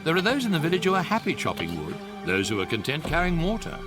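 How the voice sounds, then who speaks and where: A wry storyteller for a sci-fi radio comedy, with an animated, widely moving delivery. He is precise and mock-serious, with music clearly playing underneath. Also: a little high, quick pace. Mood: wry, measured. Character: wry, measured narrator of an absurd sci-fi tale. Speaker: narrator. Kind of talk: scripted comic science fiction radio narration.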